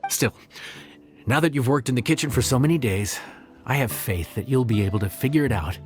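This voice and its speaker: A man's voice: medium pitch, brisk, darkish tone, natural voice. Brief: actor as friendly male cook mentor for a game, mid-range voice, wry encouragement, faint music behind. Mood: encouraging. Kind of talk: video game cutscene acting.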